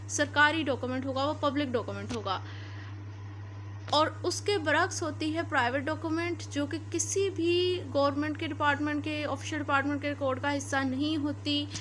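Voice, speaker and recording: A woman with a Pakistani accent delivers an instructional lecture in a high, bright voice. She explains step by step and rephrases points for clarity, switching into English terms. Faint noise sits behind her. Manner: didactic, repeats and rephrases points. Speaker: law lecturer.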